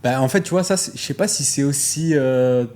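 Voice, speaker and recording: A man's voice: medium pitch, fairly quick. A man, a guest chatting casually and joking in an interview. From France. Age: 40s. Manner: relaxed, joking, says "tu vois" a lot. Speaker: guest artist.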